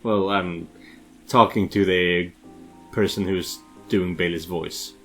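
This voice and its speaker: Low male voice, casual game streamer, hesitant muttered asides, reads text aloud, faint music behind. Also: fairly even intonation, takes it slowly, fairly bright tone. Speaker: game streamer dubbing a character. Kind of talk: casual let's play with read-aloud dialogue. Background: background music.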